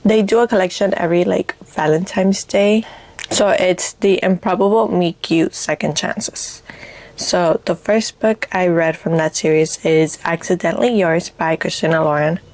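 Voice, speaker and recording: A woman with a raspy voice, a little congested from allergies, chatting casually and hesitantly about books as a vlogger. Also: on the low side, steady tone, moderate pace, a little mellow, no room sound. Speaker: book vlogger. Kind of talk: casual book chat.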